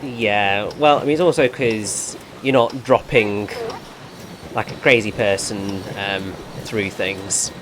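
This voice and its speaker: A man with a naturally dry, deadpan voice, giving directions and chatting over a game. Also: fairly low pitch, takes it slowly, background noise. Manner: guiding, precise, rambling over-explainer. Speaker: co-commentator. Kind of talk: casual let's-play chat.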